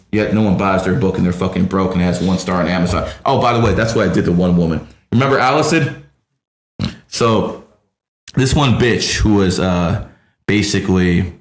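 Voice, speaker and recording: A man hosting a numerology podcast, talking casually and ranting with heavy profanity. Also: lowish voice. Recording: roomy echo.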